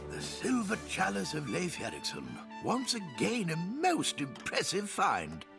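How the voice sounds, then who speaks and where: Prim, formal museum curator, high and gravelly. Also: male voice, wildly swooping pitch, unhurried, over music, full character voice. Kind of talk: animated series acting.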